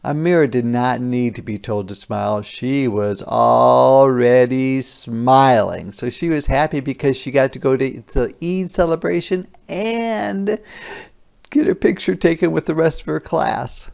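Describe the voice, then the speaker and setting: A man teacher reading a children's picture book aloud, adding simple, deliberate explanations for young listeners. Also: mid-range voice, gravelly, darkish tone. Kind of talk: interactive children's read-aloud with explanatory asides.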